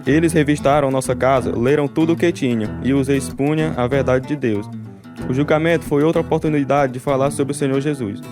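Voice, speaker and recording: A man's voice: mid-range voice, fairly even intonation, fairly quick, lightly acted. Actor as an earnest, devout man, guitar music behind. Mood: solemn. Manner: earnest, resolute. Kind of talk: first-person radio drama narration.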